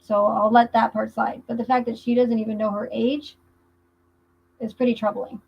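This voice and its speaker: A woman's voice: a little high, level tone, normal speed, creaky, a little mellow, a little hiss or hum. A woman hosting a livestream, giving casual commentary in a conversational, emphatic way that repeats points for emphasis. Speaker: livestream host. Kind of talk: casual livestream commentary on a true crime case. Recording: muffled, dull lo-fi livestream audio.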